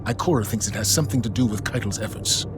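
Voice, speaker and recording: An actor playing a grave, principled military leader, speaking with firm, serious and measured authority in dramatic game dialogue. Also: male voice, fairly low pitch, natural voice. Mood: firm, briefing. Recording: music behind the voice.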